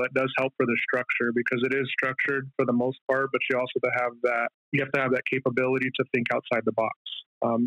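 A man's voice: medium pitch, a bit bright, no echo, muffled recording. A middle-aged man giving casual, explanatory answers as an interview guest. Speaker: interview guest, air traffic controller.

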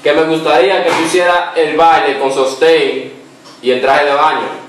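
A young man making a prank phone call from a couch, halting and repeating himself, holding back laughs. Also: fairly high pitch, level tone, slight background noise. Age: young adult. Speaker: prank caller. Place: small living room, seated on a couch. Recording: echoey room, far from the mic.

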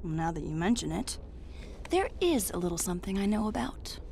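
A woman's voice: on the low side, sing-song, normal speed, gravelly, darkish tone, slight background noise. A woman voicing a flirty, teasing, carefree thrill-seeker in a video game, with a sultry and taunting manner.

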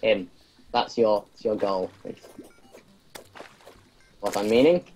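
A man's voice: fairly low pitch, animated, takes it slowly, warm tone, soft background music, rough recording. A man making wry, sarcastic remarks while playing a video game with friends. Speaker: game player. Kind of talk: casual gaming chat.

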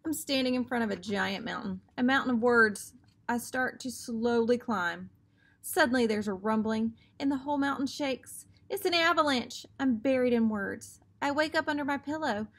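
A woman's voice: a little high, normal speed. A woman in her thirties reading a children's book aloud as a teacher, acting out the dialogue expressively.

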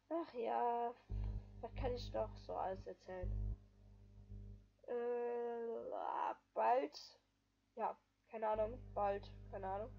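High-voiced rambling gaming commentator. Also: female voice, creaky, dark tone, lo-fi sound. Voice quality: rambling, loose, with frequent filler phrases. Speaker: gaming video creator. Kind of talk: casual voice-over commentary.

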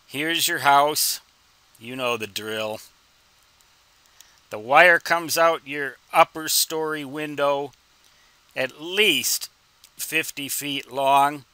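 A man in his sixties giving an informal explanatory tutorial, with deliberate, emphatic phrasing and rhetorical questions. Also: a little high, pushed and bright, smooth, no room sound. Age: 60s. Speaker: instructor and ham radio hobbyist.

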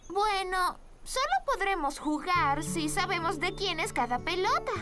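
A sweet, encouraging little cartoon girl with a very high voice. Her speech swings up and down with lots of life, yet stays patient and reassuring. Children's music plays behind her. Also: expressive, a little slow. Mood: gently apologetic. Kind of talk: dubbed children's cartoon acting.

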